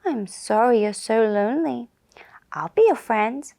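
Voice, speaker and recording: Woman voicing a sweet, expressive little fairy. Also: on the high side, a little slow, gravelly. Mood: kind. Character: small good-hearted little fairy, gentle and easily startled. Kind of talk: children's puppet show storytelling.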